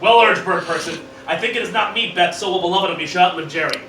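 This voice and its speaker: Man playing high-pitched scheming cartoon grandpa, echoey room. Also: fast, sharp tone. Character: scheming, boisterous cartoon mad-scientist grandfather. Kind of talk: mock-Shakespearean parody radio play read aloud. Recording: roomy, distant, noisy amateur group recording.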